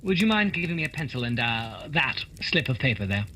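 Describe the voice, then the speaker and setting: Guy as theatrical gentleman detective, wry and precise, super lively delivery, radio play. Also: medium pitch, a little fast, a little hiss or hum, rough recording. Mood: brisk. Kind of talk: holiday radio play acting.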